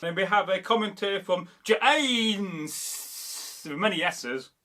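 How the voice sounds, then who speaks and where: A man's voice: high voice, lively intonation, takes it slowly, bright, forceful tone. A man from Derby, chatting loosely as a history channel host, reading viewer comments and rambling with lots of fillers. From Derby, England. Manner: rambling, meandering, many fillers and restarts.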